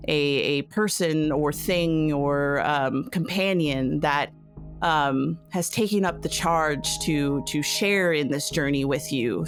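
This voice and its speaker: A woman game master narrating a tabletop story in a halting, thoughtful way, with atmospheric phrasing and questions to the player. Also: low-pitched. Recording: music under the voice. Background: background music.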